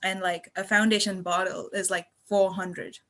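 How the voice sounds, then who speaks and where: A woman in her twenties from Malaysia talks casually as a podcast contributor. Her voice sits at a middle pitch, and her way of talking is chatty, effusive and rambling. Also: level tone, moderate pace, sharp tone. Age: 20s. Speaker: podcast guest and student contributor.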